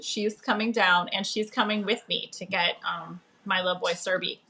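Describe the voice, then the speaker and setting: A woman's voice: medium pitch, fairly even intonation, normal speed, very noisy. A woman speaking as a guest author in a casual livestream, playful and informal in manner. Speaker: author guest on a book live stream.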